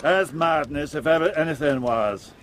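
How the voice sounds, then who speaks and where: A man's voice: fairly high pitch, moderate pace, a bit bright, a little hiss or hum, lightly acted. A man playing a wary, plain-spoken working-class carriage driver in an audio drama, deferential and gossipy in a rustic way. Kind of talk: gothic audio drama acting.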